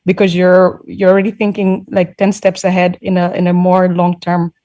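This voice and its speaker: Woman, mid pitch, flat delivery, mellow tone, explanatory. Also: normal speed. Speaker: panelist. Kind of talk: casual discussion.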